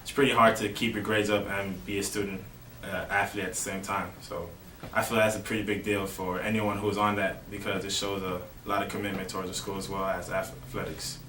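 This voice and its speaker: Low-voiced young man, distant roomy sound. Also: fairly quick, few pauses. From Miami, Florida. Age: young adult. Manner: relaxed, frequent "you know" fillers. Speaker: college baseball player. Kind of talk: casual interview answers. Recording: roomy, distant mic with faint noise.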